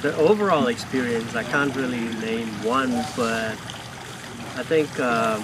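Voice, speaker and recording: A man's voice: mid-range voice, a little slow, noisy background. A man answering interview questions casually, hesitant and thinking aloud with fillers, outdoors at a marina. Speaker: guest crew member interviewed.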